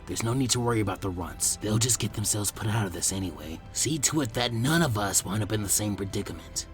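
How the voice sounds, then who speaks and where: Hunched, menacing male ninja leader, played by a male reader, deep lowered pitch, measured, dismissive, storybook villain. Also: expressive, faint music behind, natural voice. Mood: cold, dismissive.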